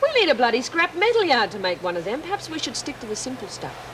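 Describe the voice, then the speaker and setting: A cheeky, scheming female inmate. Her high voice bounces around with energy. The old TV soundtrack carries a steady hiss. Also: fast talker, heavily acted. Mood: boisterous. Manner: cheeky, boisterous.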